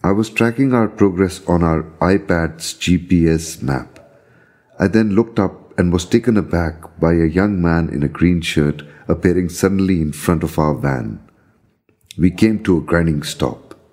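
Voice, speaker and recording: A man narrates his own story in a very low voice with a soft, dark tone. His delivery is measured and solemn, and there is a husky grain to it as he reads. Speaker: storyteller reading own account. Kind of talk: scripted narration of a visionary journey.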